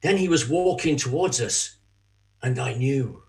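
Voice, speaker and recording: Remorseful betrayer, played by a man, mid-range voice, very measured pace. Anguished, halting confession for a church drama. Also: mid-range voice, lively intonation, creaky, darkish tone. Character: Judas, remorseful betrayer haunted by guilt, pleading. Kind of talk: dramatic monologue in a church reading.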